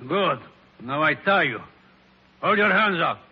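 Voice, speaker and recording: An actor playing a gruff, bandit henchman in a 1940s radio drama. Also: a little high, animated, measured pace. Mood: harsh, excited. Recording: muffled, noisy 1940s radio recording.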